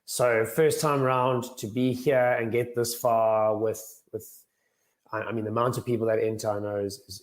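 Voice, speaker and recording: A young man with a slightly croaky voice, answering interview questions earnestly and often repeating words as he starts sentences. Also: on the low side. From South Africa. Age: young adult. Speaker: guest financial planner.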